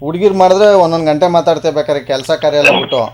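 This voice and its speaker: Rapid-fire teasing male prank caller. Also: fairly high pitch, a little mellow. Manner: needling, mock-stern questioning. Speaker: radio prank-call host. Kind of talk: teasing phone banter. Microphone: phone call recorded in a radio show. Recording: noisy radio phone call.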